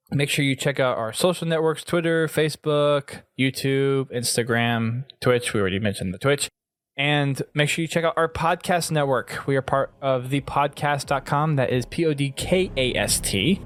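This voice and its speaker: A man's voice: medium pitch, no room sound, studio quality. A man speaking casually as the host of a gaming podcast.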